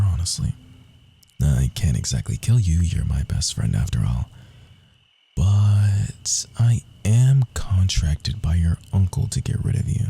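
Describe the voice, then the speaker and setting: A man playing a proud, wounded young rogue assassin in an intimate ASMR roleplay, speaking in a hushed, confiding way with dramatic pauses. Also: deep voice, heavily acted. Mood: soft, resolved. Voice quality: husky, breathy, often dropping to a whisper.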